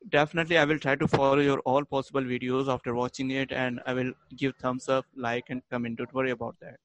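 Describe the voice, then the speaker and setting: A man's voice: medium pitch, level tone, fast talker, no room sound, rough recording. A man whose first language is Hindi, joining a video call and speaking English eagerly but hesitantly, with frequent fillers. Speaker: caller joining the meeting. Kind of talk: casual video-call chat.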